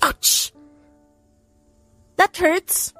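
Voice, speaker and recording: A woman's voice: drawn-out words, bright, forceful tone, no echo. A woman voicing a cheeky, cocky little boy in an audiobook. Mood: pained. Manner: cocky, teasing. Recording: background music under the voice.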